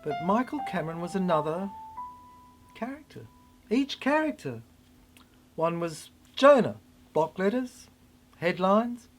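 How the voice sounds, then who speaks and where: A performer delivering a theatrical spoken-word monologue in fragmented, halting phrases with dramatic swings. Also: medium pitch, sing-song, faint music behind.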